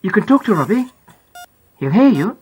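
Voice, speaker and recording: A woman reader plays a mum in an audiobook. She has a slightly husky voice and a gentle, reassuring way of speaking. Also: medium pitch, very animated, moderate pace. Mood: gently encouraging. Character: reassuring mother of an injured child. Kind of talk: audiobook character acting.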